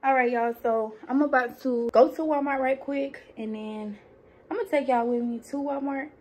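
A woman in her early twenties chatting casually to her phone camera in a vlog, slangy and excitable, squealing high when thrilled. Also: moderate pace, breathy edge. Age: early 20s. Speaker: vlogger. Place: apartment rooms, then parked car and outdoor parking lot. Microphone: phone held at arm's length.

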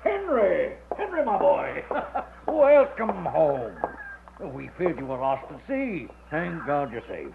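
A hearty old colonel in a vintage radio play. His fairly high voice swings freely in pitch and has a gravelly edge. He sounds avuncular and jovial. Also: sing-song, slow, exaggerated voice. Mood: hearty, welcoming. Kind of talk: 1950s radio drama acting. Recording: narrow-band old radio recording with faint noise.

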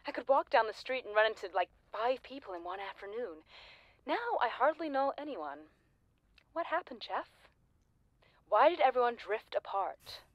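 A woman's voice: fairly high pitch, very animated, moderate pace, no echo. An actress playing a lonely, needy woman in an audio play, wistful and pointed as she clings to an old school friend. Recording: slightly dull, dry recording.

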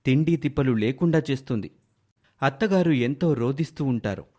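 A man's voice: mid-range voice, expressive, normal speed, dark tone. A man narrating a scripted moral story in a dramatic storytelling style.

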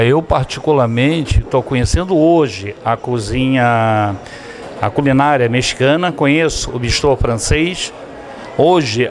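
A middle-aged man hosting a TV show, enthusiastically interviewing and promoting to viewers from inside a restaurant on a handheld mic. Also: mid-range voice. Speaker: TV show host. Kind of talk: upbeat on-location interview. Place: indoor restaurant dining room. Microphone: handheld microphone.

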